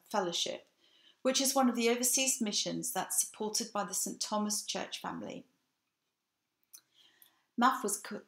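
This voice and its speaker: A woman in her sixties with an English accent, giving a measured, earnest sermon from home to a webcam. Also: medium pitch. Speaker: preacher. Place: home room with bookshelf, indoors. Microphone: webcam, seated facing camera. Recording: echoey room.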